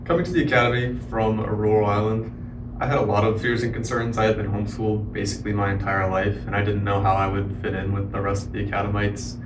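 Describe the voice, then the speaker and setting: Teen boy from Alaska, quick matter-of-fact delivery, echoey distant noisy sound. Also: fairly low pitch, level tone. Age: teens. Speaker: student ambassador.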